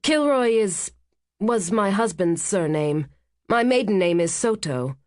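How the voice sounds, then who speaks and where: A woman voicing a careful, polite widow, speaking higher than her narration, in a dry close recording. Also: lively intonation, unhurried, dry recording, close to an everyday voice.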